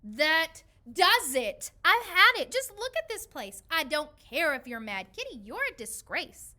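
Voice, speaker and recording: An exasperated pet owner from a children's storybook, acted out by a woman, pleading and scolding at full drama. The voice is high and very bright, with a loud, forceful push. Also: sing-song, measured pace, exaggerated voice. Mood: furious. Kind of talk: children's storybook read-aloud.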